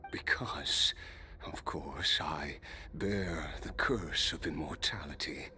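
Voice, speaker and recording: An actor playing a weary, cursed immortal warrior in a game cutscene, speaking low and half-whispered. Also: male voice, slow pace, bright, forceful tone, full character voice. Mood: grim.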